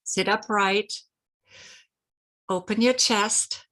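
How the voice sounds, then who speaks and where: A woman's voice: medium pitch, fairly even intonation, very slow, fairly bright tone. A middle-aged woman whose first language is German, hosting a reflective solo talk about heart and courage and leading a short exercise, earnest and repeating herself with small restarts. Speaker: host.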